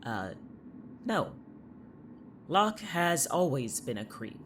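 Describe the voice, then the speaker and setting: A jaded teenage high schooler in an audio drama, played by a man, sarcastic and quick to get defensive. His blunt, irritable delivery has lively pitch movement, over faint background noise. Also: full character voice. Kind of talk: audio fiction dialogue.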